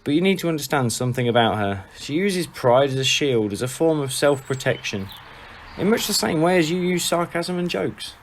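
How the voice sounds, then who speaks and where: A man's voice: a little high, lively intonation, normal speed, a little hiss or hum. A man playing a laid-back, frank friend. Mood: frank, calm. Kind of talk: audio drama acting.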